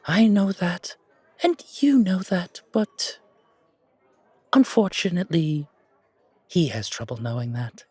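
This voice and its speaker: A man plays an elegant, sociable fantasy shopkeeper with a wry streak. His voice is clean and sits a little high, and he speaks in unhurried, theatrical phrases with formal wording. It sounds close and dry, like an intimate audio roleplay. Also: expressive, dark tone, slightly rough, no room sound, exaggerated voice. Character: elegant, wry, sociable male coffee shop owner, non-human.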